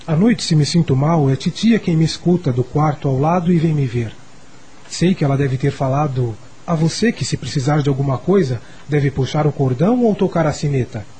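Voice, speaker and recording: An actor playing a lonely, sickly rich schoolboy in a Brazilian radio drama, confiding in a childlike way, with a Brazilian accent and a husky voice. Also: male voice, on the high side, brisk, mellow, a little hiss or hum, close to an everyday voice, low-quality recording. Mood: explaining, polite.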